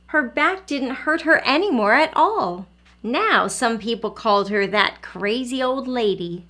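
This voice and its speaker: Higher-voiced woman reading to children. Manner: expressive, asks questions directly to young listeners. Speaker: early learning teacher reading aloud. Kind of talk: children's picture book read-aloud.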